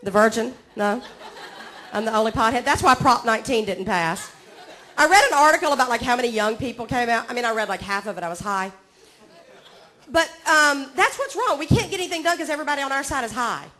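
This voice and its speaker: High-voiced Southern woman doing stand-up. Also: expressive, brisk, pushed and bright. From Georgia, US. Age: 40s. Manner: drawling, self-mocking, chatty with the crowd. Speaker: stand-up comedian. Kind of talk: stand-up comedy set. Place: comedy club stage.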